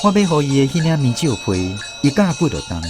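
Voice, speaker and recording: A man's voice: a little high, big pitch swings, fast, music in the background. A man with a Taiwanese accent playing a grown grandson who narrates memories of his grandfather in a reflective, tender radio drama style. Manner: storytelling, reflective. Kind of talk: scripted first-person radio drama narration.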